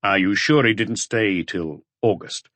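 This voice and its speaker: A man playing a calm police detective chief who questions tersely and methodically in an audiobook. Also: fairly low pitch, unhurried, no room sound. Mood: calm, probing.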